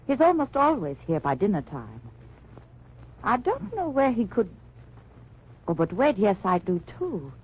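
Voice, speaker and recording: An actress playing an evasive German housewife, polite and eager, in an old radio drama. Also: female voice, medium pitch, big pitch swings, unhurried, pauses often, husky. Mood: polite, flustered. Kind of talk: 1940s-50s radio drama acting. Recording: dull old radio recording.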